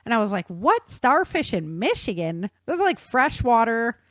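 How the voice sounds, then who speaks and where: A woman explaining things in an animated, conversational way with casual slang. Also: fairly high pitch, normal speed, no room sound. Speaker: guest author explaining research.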